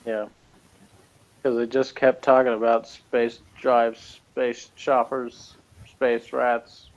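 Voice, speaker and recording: A man's voice: mid-range voice, little pitch movement, takes it slowly, lo-fi sound. A man co-reviewing a TV episode in casual chat, sardonic and joking, with lots of fillers. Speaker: podcast co-reviewer. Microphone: remote call, off camera.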